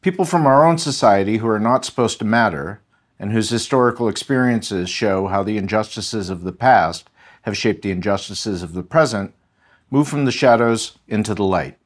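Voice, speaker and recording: American man, slightly low voice, measured. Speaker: history professor, podcast guest. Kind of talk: thoughtful interview talk.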